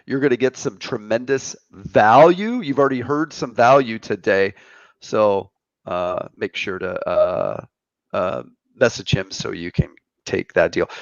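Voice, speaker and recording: Gravelly-voiced man in his fifties hosting. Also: mid-range voice, no echo. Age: 50s. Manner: chatty, joking, greets viewers. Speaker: host of a live online show. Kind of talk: casual live-stream chat. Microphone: computer mic on a live video stream. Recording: dry, slightly dull stream audio.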